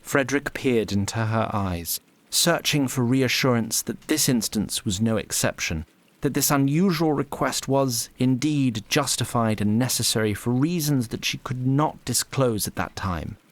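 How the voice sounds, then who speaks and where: Casting: male narrator, medium pitch, measured literary delivery of scripted fantasy storytelling. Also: dry recording.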